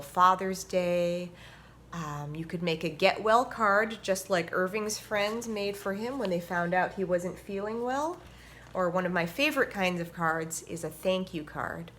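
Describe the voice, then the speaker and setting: A woman hosting a story time for children, reading and explaining things simply with expressive, deliberate phrasing. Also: lowish voice, slight background noise. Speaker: host and story reader, orchestra violinist.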